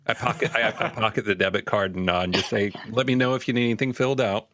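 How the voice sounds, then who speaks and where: A man's voice: fairly low pitch, fairly even intonation, quick pace, fairly bright tone. A man playing a tabletop roleplaying game, describing his character's actions and speaking lines in character.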